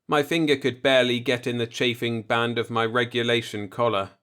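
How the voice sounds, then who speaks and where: A man's voice: mid-range voice, little pitch movement, a little fast, a bit bright, clean tone. A man reading a first-person science fiction story aloud as a scripted audiobook narrator.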